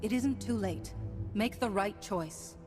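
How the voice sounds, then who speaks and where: A woman voicing a calm, principled, resolute Jedi knight in a video game, earnest and commanding. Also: medium pitch, slow pace, natural voice. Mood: earnest appeal. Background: game music.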